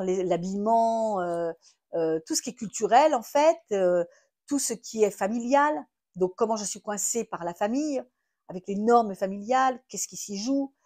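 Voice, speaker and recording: A woman from France gives a teaching talk about her therapy work. She thinks aloud, often restarting with filler sounds, and keeps asking herself rhetorical questions. Her voice sits at a middle pitch. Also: a little mellow. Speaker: astrotherapist and trainer.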